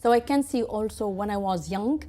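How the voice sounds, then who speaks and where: A woman from Tunisia answering interview questions in quick, halting full of restarts and fillers. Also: medium pitch, warm tone. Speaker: guest.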